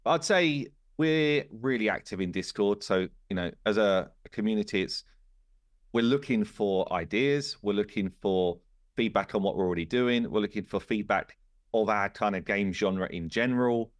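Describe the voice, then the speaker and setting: A man speaking as a game studio co-founder in a casual podcast conversation, telling things in an animated way and voicing quoted remarks. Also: lowish voice, normal speed, no room sound.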